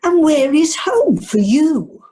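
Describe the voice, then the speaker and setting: A woman playing a fussy, chatty retired wife with a northern English accent and a husky voice in an amateur radio comedy. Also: high voice, very animated, slow pace, warm tone, full character voice. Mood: polite, gushing. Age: older. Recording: online video meeting audio.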